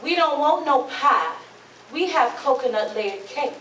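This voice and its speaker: Lady acting jealous outspoken southern girlfriend in a play, heated, really high voice, far from the mic. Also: expressive, a little slow, a bit bright. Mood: sulky. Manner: Southern folk dialect, heated. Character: fiercely jealous, outspoken young woman in love. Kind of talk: staged play reading. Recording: roomy, distant mic, faint noise.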